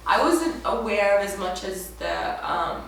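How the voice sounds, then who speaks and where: A woman's voice: mid-range voice, big pitch swings, a little slow, vocal fry, a bit bright. A young woman from Ethiopia, a native Amharic speaker, telling her life story in an interview. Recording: echoey room, distant mic, faint noise.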